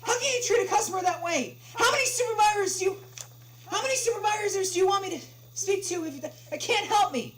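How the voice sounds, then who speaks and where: A woman acts out a furious male caller in a table read, pushing a loud, strained voice very high. He is indignant and hammers out his demands again and again. The livestream recording carries noise and a hum. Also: lively intonation, fast. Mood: outraged. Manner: indignant, repeating demands with rising insistence. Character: irate, screaming male customer on a support phone call. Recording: clearly noisy home livestream with hum.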